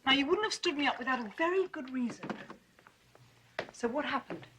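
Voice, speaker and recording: A sharp, exasperated doctor, high-voiced and scolding. It is a TV drama clip with a noisy, hissy track. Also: female voice, expressive, a little fast. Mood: annoyed. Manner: scolding, demanding.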